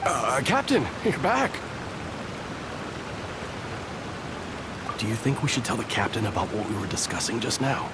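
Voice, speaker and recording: Gossipy, complaining foot soldier; lively delivery, game music behind. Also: male voice, mid-range voice, fairly quick, very noisy. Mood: nervous. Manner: complaining, then nervously overcheerful. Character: grumbling, gossipy rank-and-file soldier.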